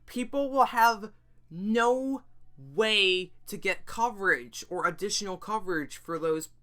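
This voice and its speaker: Bright, forceful woman making an appeal. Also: medium pitch, a little slow, faint music behind. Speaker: video host. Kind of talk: earnest spoken appeal to viewers.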